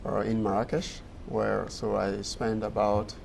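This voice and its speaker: Gravelly-voiced man from Guinea, French native. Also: fairly low pitch, flat delivery, slight background noise. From Guinea, West Africa. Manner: earnest, measured, laughs easily. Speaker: guest. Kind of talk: casual interview answers.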